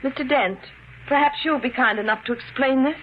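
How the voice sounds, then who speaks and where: An actress playing a puzzled, insistent young bride in a 1950s radio drama, with polished, questioning speech. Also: female voice, high voice, a little fast, close to an everyday voice. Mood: insistent, worried. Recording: tinny, hissy 1950s radio recording.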